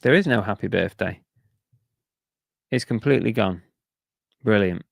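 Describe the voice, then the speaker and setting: A man's voice: fairly low pitch, unhurried, gravelly, no room sound, studio quality. A man chatting casually on a live stream, reading out viewer comments and grumbling about sales while losing his voice. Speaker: reseller streamer reading chat.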